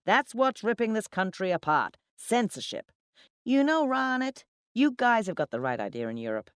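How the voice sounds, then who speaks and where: A woman voicing a loud, opinionated male account manager in an audiobook, boastful and emphatic. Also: on the low side, wildly swooping pitch, slow pace, no room sound, studio quality, heavily acted. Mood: blustering. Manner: emphatic, blustering.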